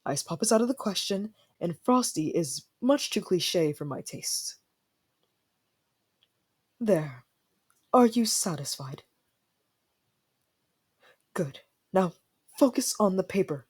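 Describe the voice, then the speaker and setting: A woman playing a proud young ice prince in an ASMR fantasy roleplay, haughty and stammering when flustered, then softening. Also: on the low side, lively intonation, slow, long pauses, slightly rough, full character voice. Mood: indignant, then composed, softening.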